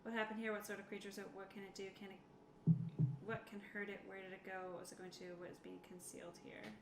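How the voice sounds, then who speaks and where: A woman's voice: mid-range voice, little pitch movement, quick pace. A woman chatting casually as a player in a tabletop roleplaying game. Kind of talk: casual chat during a game. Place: indoor room. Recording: echoey room, clear background noise.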